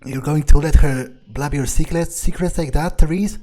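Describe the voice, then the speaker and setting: Husky-voiced man playing a game in character. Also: fairly high pitch, moderate pace, mellow. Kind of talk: in-character game playthrough commentary, thinking aloud. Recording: voice over game background music. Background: music.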